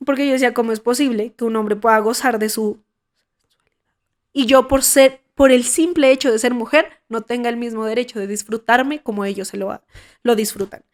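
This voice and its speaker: Hoarse Colombian woman, frank podcast host. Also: on the high side. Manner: frank and outspoken, with frequent restarts. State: hoarse from a cold. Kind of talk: casual podcast monologue.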